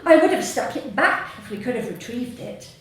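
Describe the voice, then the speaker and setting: A woman with a southern English accent reads her humorous short story live on stage, deadpan and measured, with pauses. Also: a little high. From Sussex, England. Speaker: author reading own short story. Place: small theatre stage before an audience. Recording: very echoey hall, distant mic, faint noise.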